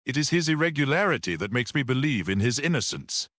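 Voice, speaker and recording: A man voicing a mild, quietly grave little priest-detective in an audiobook, gentle and matter-of-fact. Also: medium pitch, big pitch swings, fast, no echo, studio quality, voice pushed up. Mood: gently reasoning.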